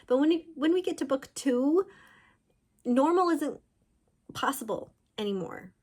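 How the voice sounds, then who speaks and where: A woman author talking informally about books, in an animated storytelling way. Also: high voice, unhurried. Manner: animated storyteller, voicing characters' thoughts in quotes. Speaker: author discussing own books. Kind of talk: chatty informal book talk.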